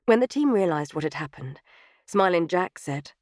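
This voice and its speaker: Female audiobook narrator: slightly low voice, scripted storytelling, close and dry studio sound. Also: animated, normal speed, a little mellow, no echo. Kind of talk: scripted third-person fiction narration.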